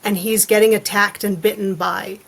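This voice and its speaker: A woman's voice: mid-range voice, steady tone, normal speed, gravelly, a bit bright, rough recording. A woman talking casually as a podcast guest, in a conversational, informal way. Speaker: podcast guest, illustrator and author. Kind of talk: casual chat.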